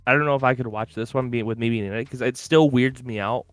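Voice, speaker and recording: A man's voice: mid-range voice, fairly even intonation, fast talker. Rambling man on a podcast. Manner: rambling, heavy use of "like". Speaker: podcast co-host. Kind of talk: casual chat. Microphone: open-ear headphones and desk mic.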